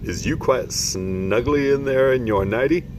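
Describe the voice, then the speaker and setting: A man's voice: medium pitch, unhurried, voice pushed up, lightly acted. A man voicing a huge, tender-hearted old male giant who talks with mangled grammar and made-up words. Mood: gentle, fretful. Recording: steady background noise.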